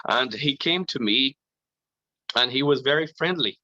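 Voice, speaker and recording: Slow, earnest man testifying. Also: medium pitch, bright, forceful tone, no room sound, muffled recording. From Brazil. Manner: slow, halting, earnest, with frequent "you know". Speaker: conference speaker giving testimony. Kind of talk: personal faith testimony.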